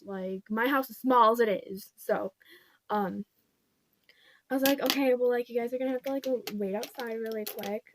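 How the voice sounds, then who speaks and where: A woman's voice: a little high, slight background noise, rough recording. A young woman vlogger, congested, casually telling a rambling storytime at home, full of fillers like "like" and "pretty much".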